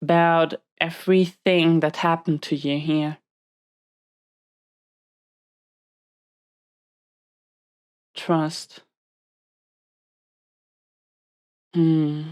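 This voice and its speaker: A woman performs a dominant female inmate in an intimate audio drama. Her voice is deep for a woman and very smooth, with slow delivery. She gives curt commands, guarded and stern, with a hidden tenderness underneath. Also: darkish tone. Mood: guarded, hesitant. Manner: commanding, clipped orders with hesitant confessions.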